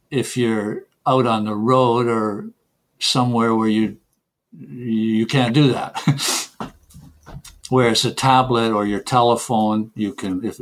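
A middle-aged man from Canada, answering interview questions casually with many restarts and repeated words. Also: fairly low pitch. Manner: hesitant, repeats words, chuckles between points. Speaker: software developer guest.

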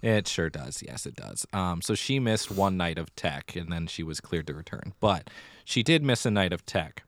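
A man with a low voice talks casually as a podcast co-host. He tells stories in a chatty, slightly theatrical way, and the sound is dry with very little room in it. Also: animated, moderate pace, no echo. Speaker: middle school theater director. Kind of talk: casual conversational podcast chat.

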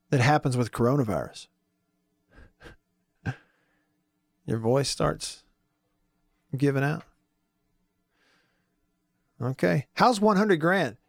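Hoarse guy running a radio show, kinda high voice, rambly and joking, dead dry studio. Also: no echo. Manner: chatty, jokey, lots of fillers. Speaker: radio talk show host. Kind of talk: casual sports radio talk.

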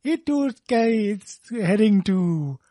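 A man co-hosting a comedy chat in a dry, hesitant, deadpan way. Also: high-pitched, takes it slowly, no room sound. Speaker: podcast co-host.

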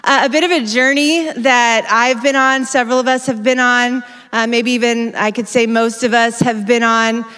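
A woman delivers a sermon at a church conference, her voice sitting somewhat high. She talks in an energetic, conversational way and often corrects herself mid-sentence. Her stage mic carries the long reverb of a large hall. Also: moderate pace, few pauses, smooth. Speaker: conference speaker.

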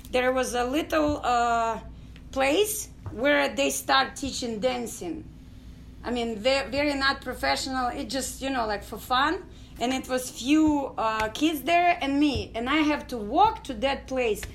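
Ukrainian woman, middle-aged, high voice, pauses and fillers, faint background noise. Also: gravelly, a bit bright. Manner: halting non-native English with many fillers. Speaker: guest, dance company founder. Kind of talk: storytelling interview.

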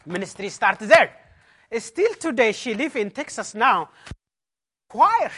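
A man's voice: extremely high pitch, big pitch swings, takes it slowly, pushed and bright, husky. A man pastor from Ethiopia with an Ethiopian accent, giving an emphatic personal testimony as a conference talk in non-native English.

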